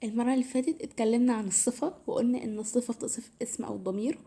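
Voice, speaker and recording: A woman's voice: fairly high pitch, fairly even intonation, rapid-fire, dark tone, a little hiss or hum. A woman with an Egyptian Arabic accent, teaching English grammar in a casual, step-by-step way, her voice a little tired. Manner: explains step by step, repeats "تمام". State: tired, slightly strained voice.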